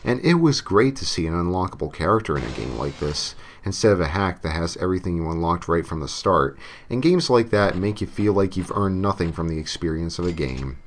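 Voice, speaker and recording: A man's voice: low voice, expressive, brisk, few pauses, a little hiss or hum. A man narrating a scripted comic horror story in a theatrical way, with emphatic delivery. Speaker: creepypasta narrator. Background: music.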